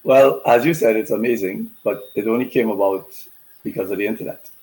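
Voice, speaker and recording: A middle-aged man from Trinidad, a business consultant chatting as a guest on a video call in a relaxed, conversational way. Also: fairly low pitch, fairly quick, rough recording. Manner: relaxed and conversational, many "you know" fillers. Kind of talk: casual interview chat over video call.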